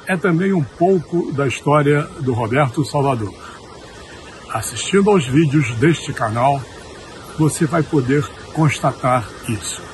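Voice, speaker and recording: A Brazilian man giving a spoken tribute in emphatic, short, deliberate phrases. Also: mid-range voice, darkish tone, slight background noise.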